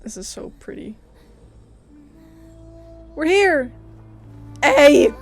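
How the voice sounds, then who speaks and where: A woman streaming a game, reacting casually with expressive, exclamatory commentary. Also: sits high, extremely slow. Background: game music.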